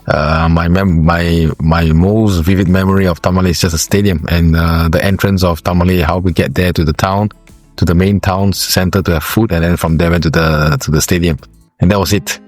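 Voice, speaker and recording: A man from Singapore, a former footballer, giving interview answers in a halting way with many fillers and restarts. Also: low-pitched, fairly even intonation, no echo, faint music behind.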